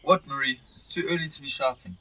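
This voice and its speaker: A man's voice: medium pitch, fast talker, noisy background, natural voice. A man acting a grumpy, blunt elderly husband in an amateur radio drama. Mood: irritable.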